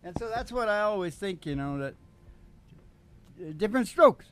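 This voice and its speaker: Male host, high-pitched, folksy and irreverent, for rambling comic monologue. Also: animated, normal speed, noisy background. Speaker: radio show host and singing DJ. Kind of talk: folksy comedic storytelling monologue over music.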